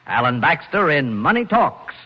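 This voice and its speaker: Male vintage radio program announcer: high, sweeping, dramatic voice, muffled recording, music behind. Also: sing-song, normal speed. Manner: dramatic, emphatic. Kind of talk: 1940s radio drama introduction.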